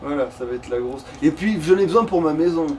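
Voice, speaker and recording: A man's voice: a little high, lively intonation, fast talker, dark tone. A man giving casual, sweary gaming commentary, rambling and repeating himself as he chats with a friend. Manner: informal, sweary, rambling and repetitive. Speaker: let's play gamer. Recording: distant mic with faint noise.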